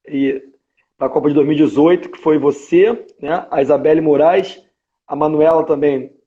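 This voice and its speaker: A Brazilian man hosting a live-stream interview, asking questions in a relaxed way. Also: a little high, normal speed, muffled recording. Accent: Brazilian Portuguese.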